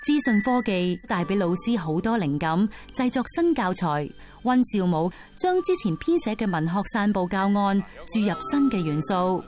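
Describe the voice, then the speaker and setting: A woman with a mid-range voice and a Hong Kong Cantonese accent reads scripted documentary narration. Clear background music runs underneath. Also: medium pitch, animated, moderate pace, a little mellow.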